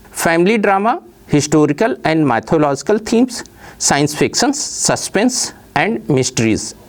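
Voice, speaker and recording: A lecturer delivers scripted educational material in a formal, textbook manner. The pitch moves up and down in a lively way as each point is set out in orderly fashion.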